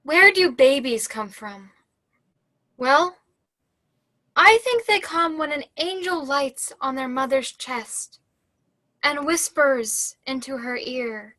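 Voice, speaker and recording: Young woman acting a high-voiced, childlike, troubled nun. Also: bright, forceful tone, no room sound, exaggerated voice. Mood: naive, hesitant. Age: teens. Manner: childlike, halting, earnest. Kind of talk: staged theatre monologue. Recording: video call.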